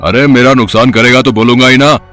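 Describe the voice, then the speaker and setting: A man's voice: medium pitch, steady tone, brisk, fairly bright tone, soft background music, lightly acted. A man voicing a stern, grudging roadside eatery owner in a cartoon story, scolding and dismissive. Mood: angry, scornful. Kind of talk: acted cartoon story dialogue.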